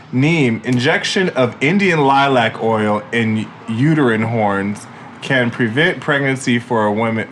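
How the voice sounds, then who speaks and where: A man's voice: mid-range voice, a little hiss or hum. A man co-hosting a podcast in casual chat, joking and cursing freely. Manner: joking, profane, laid-back. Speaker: podcast co-host.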